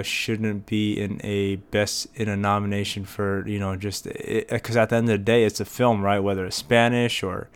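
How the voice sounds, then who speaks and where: A man's voice: fairly low pitch, clean tone. A young American man hosting a casual solo podcast, rambling with many fillers and restarts. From United States. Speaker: podcast host.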